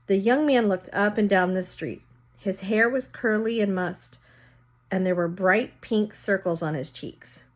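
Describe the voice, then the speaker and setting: A woman's voice: mid-range voice, a little slow. A woman reading a children's story aloud, narrating and casually explaining words as she goes.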